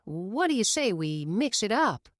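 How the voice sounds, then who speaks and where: A woman voicing a calm male race car crew chief who speaks in a level tone. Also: mid-range voice, measured pace, no room sound, clean studio sound.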